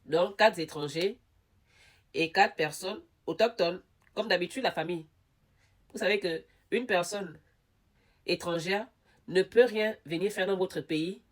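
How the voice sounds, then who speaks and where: A woman with a head cold giving casual news commentary, talking straight to her viewers and repeating words for emphasis. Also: lowish voice.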